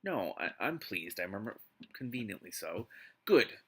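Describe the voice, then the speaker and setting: A man hosting a live read-aloud of a book, mixing narration with casual, exasperated and expressive commentary. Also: fairly low pitch, unhurried, breathy edge. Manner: exasperated, expressive asides while reading.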